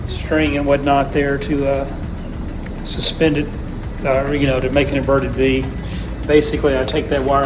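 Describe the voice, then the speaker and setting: Laid back guy, pretty monotone, casually showing his radio gear, music in the background. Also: fairly high pitch, rough recording. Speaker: amateur radio hobbyist on holiday.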